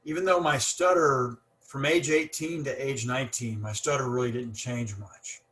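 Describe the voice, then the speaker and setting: A man's voice: medium pitch, normal speed, fairly bright tone. A man hosting a casual podcast conversation, talking with a stutter and many repeats and fillers. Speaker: podcast host.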